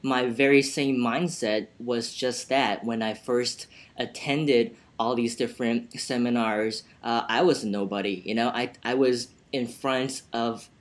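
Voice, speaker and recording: A man whose first language is Chinese talks earnestly over a video call. He co-hosts a seminar promo, and he keeps circling back with restarts, fillers and "you know". His voice sits at an ordinary middle pitch. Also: steady tone, a little slow, hardly any pauses. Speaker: seminar co-host.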